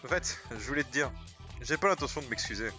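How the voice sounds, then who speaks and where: A man acts out a smug teenage rival from a dubbed anime. His voice is bright and animated, fully self-assured. Soft music sits underneath. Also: mid-range voice, quick pace. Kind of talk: French anime fan dub acting.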